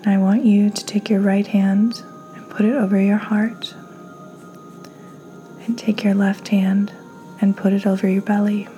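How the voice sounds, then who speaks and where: A woman leads a guided meditation in a soft, calm voice. Her pace is slow and her pitch stays very even. The tone is mellow and gentle, often close to a breathy whisper. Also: faint music behind. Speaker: podcast host, meditation guide.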